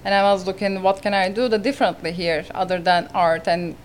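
A woman in her thirties, originally from Turkey with a Turkish accent and Turkish as her first language, talking in a podcast interview as a real estate agent in earnest, halting phrasing. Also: mid-range voice, flat delivery, a little hiss or hum.

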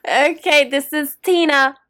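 A woman's voice: high-pitched, steady tone, moderate pace, fairly bright tone. A young woman co-hosting a casual late-night podcast chat, giggling, teasing and swearing freely. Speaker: podcast co-host.

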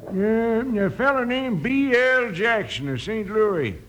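Old radio western townsman: frontier clerk, high voice, rustic drawl, unhurried and opinionated. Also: lively intonation, a bit bright, exaggerated voice, poor audio. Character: folksy small-town frontier land-claim clerk.

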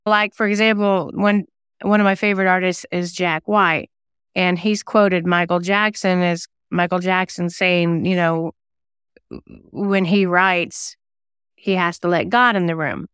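A woman's voice: lowish voice, steady tone, unhurried, fairly bright tone, clean tone, no room sound, studio quality. A woman talking reflectively as an interview guest, thoughtfully telling personal anecdotes about creative work. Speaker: singer-songwriter and novelist guest; former radio DJ.

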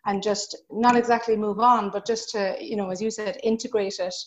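A woman interviewing a guest in a gentle, supportive way, thinking aloud as she talks. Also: mid-range voice, fairly even intonation, normal speed, muffled recording. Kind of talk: conversational interview.

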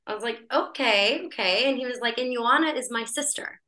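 Bright-voiced chatty woman. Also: a little high, fairly quick. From United States. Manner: chatty, breezy, laughs while telling stories. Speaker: podcast guest. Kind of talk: casual storytelling in conversation.

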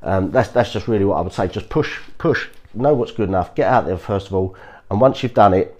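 A man hosting a podcast, sounding croaky from a cold, giving earnest casual advice with frequent restarts and repeated reassurances to his listeners. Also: fairly low pitch, lively intonation, brisk. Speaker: podcast host. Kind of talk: casual motivational talk.

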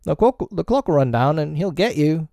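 A man's voice: fairly high pitch, expressive, moderate pace, creaky, dark tone, no room sound, clean studio sound, pitched above the performer's own voice. A man voicing a dim, eager, faithful pirate boatswain in a storybook, naive and earnest. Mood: warning.

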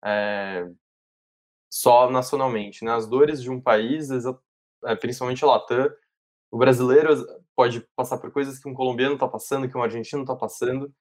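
A Brazilian man hosting an interview, asking questions in a measured, thoughtful way with some hedging. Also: mid-range voice, fairly even intonation. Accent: Brazilian Portuguese. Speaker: interview host.

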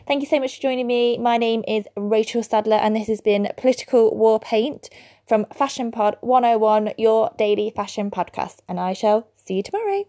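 Chatty woman podcast host, mellow. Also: on the high side, normal speed. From England. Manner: chatty, laughs at her own stumbles. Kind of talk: solo podcast commentary.

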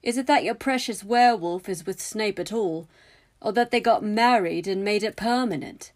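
A woman's voice: mid-range voice, normal speed, pitched above the performer's own voice, close to an everyday voice. A woman voicing a smug young male wizard with a drawling, needling manner. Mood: snide.

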